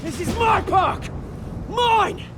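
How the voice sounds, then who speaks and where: An actor playing a swaggering, volatile British criminal in a video game, theatrical and menacing, often shouting. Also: male voice, very high-pitched, slow, over loud music. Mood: possessive fury.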